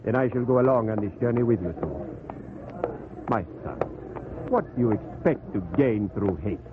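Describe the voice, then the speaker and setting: A man's voice: on the low side, lively intonation, normal speed, phone-quality sound, natural voice. An actor playing a grave, fatherly priest who moralizes solemnly, in 1940s radio drama style. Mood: concerned, admonishing. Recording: noisy, thin 1940s radio recording.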